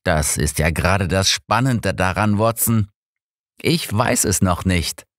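Male narrator as elderly gentleman detective, formal, brisk, commanding, audiobook character, dry sound. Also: sits low, dry recording. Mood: playful. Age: older.

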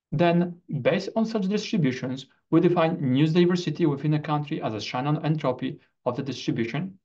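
Male researcher, fairly high voice, formal scripted presentation read deliberately, dull computer-mic sound. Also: darkish tone. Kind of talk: scripted academic video presentation.

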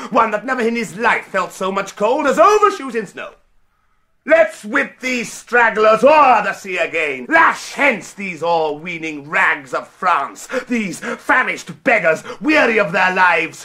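A man's voice: very high voice, sharp tone, heavily acted. An actor playing a ruthless, scornful warrior king in a declamatory classical Shakespearean style. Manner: declamatory, grandly theatrical. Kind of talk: classical Shakespearean stage acting.

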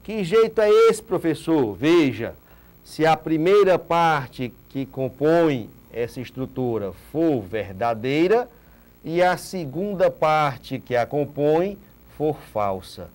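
A lively male teacher in his thirties speaks from a studio set, his fairly high voice rising and falling as he asks rhetorical questions. He hammers key points home by repeating them, a lapel mic keeping him close and clean. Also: slow. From Ceará, Brazil. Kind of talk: recorded online exam-prep lecture.

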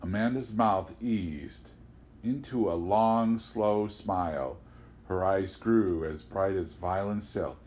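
A man reading literary prose aloud as an audiobook narrator, with an expressive, theatrical delivery. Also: low-pitched, takes it slowly. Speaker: audiobook reader.

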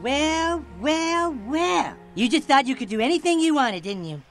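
A chubby, scheming brat from a cartoon game, bossing the other kids around. His voice sits high and lurches up and down, whiny one moment and shouting the next. Music plays behind him. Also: lively intonation, unhurried, full character voice. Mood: gloating. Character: bratty scheming chubby boy, bossy and boastful. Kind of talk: cartoon game cutscene acting.

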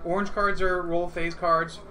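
A man's voice: high-pitched, flat delivery, unhurried, pushed and bright. A man hosting a livestream, casually explaining how a board game is played. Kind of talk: casual instructional game talk. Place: indoor room around a gaming table. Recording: noisy room livestream.

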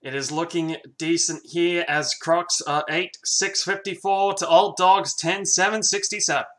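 A man calling a video game football match as play-by-play commentator, building to shouts at big plays. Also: fairly high pitch, a little slow. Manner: excitable, calls plays and shouts at big moments.

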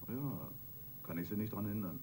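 Low-voiced, sardonic police inspector. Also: male voice, animated, moderate pace, dark tone, slight background noise. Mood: dismissive. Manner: dry, colloquial, dismissive. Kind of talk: German radio crime drama acting.

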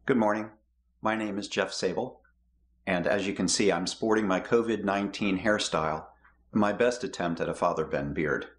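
A middle-aged man reading the prayers of the people in a measured, steady way from his home office. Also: low-pitched. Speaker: lay prayer leader.

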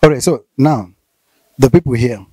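A man's voice: mid-range voice, normal speed, mellow, breathy edge, no room sound. A man in his thirties with a Nigerian accent, hosting a conversational interview with probing, hesitantly phrased questions. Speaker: interviewer, host. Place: outdoors, seated by corrugated metal fence. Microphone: handheld interview mic.

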